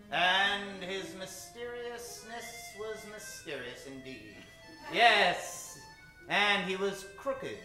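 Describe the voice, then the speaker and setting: A man's voice: high voice, pushed and bright. A man hosting and narrating in a mock-grand, theatrical old-time radio announcer style. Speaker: host, announcer and narrator of a live radio show. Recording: roomy live stage sound with music.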